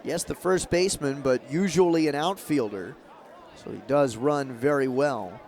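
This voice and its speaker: A man doing live radio play-by-play of a baseball game, describing the action in short, clipped, measured phrases. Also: mid-range voice, darkish tone. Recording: clear outdoor ballpark noise behind.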